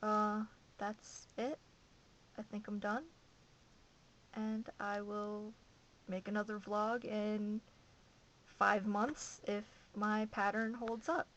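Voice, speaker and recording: A woman's voice: medium pitch, flat delivery, slow, long pauses, a bit bright, dull audio. A young woman from Massachusetts chatting casually on her home video blog, gushing and rambling with enthusiasm, recorded on a camera mic. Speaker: vlogger.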